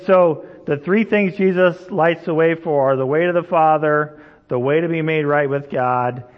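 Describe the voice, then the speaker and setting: A man preaching a sermon in a relaxed, storytelling way, often checking in with the listeners. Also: a little high. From Indonesia. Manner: conversational, storytelling, frequent "right?" checks. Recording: dull sound, long hall reverb.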